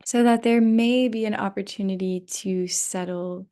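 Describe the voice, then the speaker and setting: A woman guiding a restful yoga nidra meditation in a gentle, unhurried manner with long pauses, her voice drifting into breathy near-whispers. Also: medium pitch, steady tone. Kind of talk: guided rest meditation.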